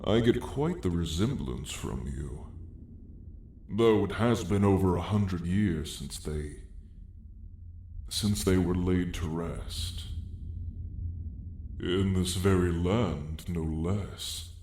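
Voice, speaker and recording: A man's voice: low voice, takes it slowly, pauses often, darkish tone. A man playing an ancient, weary dragon lord with dry menace, telling his tale in a grand, wry, old-fashioned way for an ASMR roleplay. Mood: musing, nostalgic. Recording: roomy echo, faint background noise.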